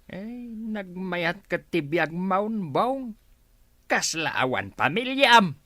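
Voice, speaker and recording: A man's voice: high voice, big pitch swings, extremely slow, pushed and bright, no room sound, studio quality, exaggerated voice. A man with an Ilocano accent playing an exasperated, scolding older village father in a radio comedy drama. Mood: nagging. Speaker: voice actor.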